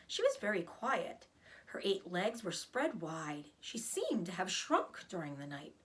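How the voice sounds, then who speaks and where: A woman narrating a children's storybook aloud with expressive, careful phrasing. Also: fairly low pitch, measured pace. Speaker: read-aloud reader, teacher.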